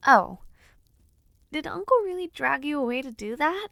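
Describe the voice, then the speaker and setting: A woman's voice: sits high, lively intonation, normal speed, creaky, a bit bright, dry recording, clean studio sound, full character voice. A young woman voicing a spirited, playful noblewoman knight in an audio roleplay, chatty and teasing. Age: young adult.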